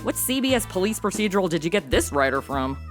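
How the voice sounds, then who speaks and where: A woman's voice: lowish voice, sing-song, fast talker, soft background music. A woman playing a flippant, self-centered young American in a comedy audio drama, with slangy, sarcastic, exaggerated delivery.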